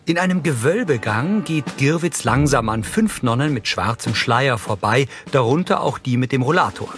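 A man's voice: medium pitch, lively intonation, moderate pace. A man reading scripted audio description in a neutral, matter-of-fact way. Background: loud music.

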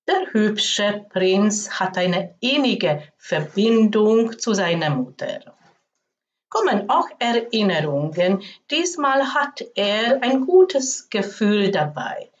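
Slow, calm woman astrologer, dull sound. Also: medium pitch. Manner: calm, unhurried, gently explaining. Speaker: astrologer and channel host. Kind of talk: slow storybook narration of astrology.

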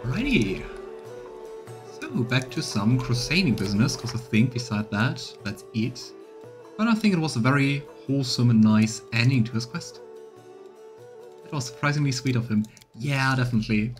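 Male game streamer over soft music. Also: medium pitch, expressive, normal speed. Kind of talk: casual let's play commentary, reading dialogue choices aloud. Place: small room indoors, on webcam.

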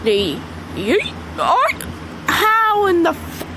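A woman with a congested voice chatting casually over mobile gameplay, excitable and squealing at the game. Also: extremely high pitch, drawn-out words, noisy background. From Michigan. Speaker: gaming channel host. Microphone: phone screen recording.

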